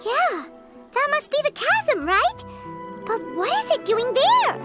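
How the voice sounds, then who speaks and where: An excitable little fairy companion, squeaking along in a very high, lively voice that refers to itself by name. Her lines sit over clear game music. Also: slow pace, full character voice. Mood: curious. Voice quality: high, bright and childlike. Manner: chatty, refers to herself by name. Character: small floating female fairy companion, chirpy and excitable. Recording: game audio with music underneath.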